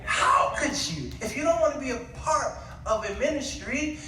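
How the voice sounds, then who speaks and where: A man preaching an impassioned sermon, exhorting his listeners with pauses and rhetorical questions. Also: very high-pitched, expressive, shouting, very noisy. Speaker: guest preacher. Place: large echoey indoor church with congregation. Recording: echoey church, distant mic, congregation responding.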